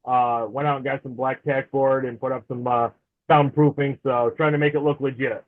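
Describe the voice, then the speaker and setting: A man's voice: mid-range voice, flat delivery, moderate pace. Chatty man in his forties on a tinny line. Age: 40s. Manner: chatty and friendly, laughs easily. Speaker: podcast guest. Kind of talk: casual interview chat. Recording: tinny phone-like remote line.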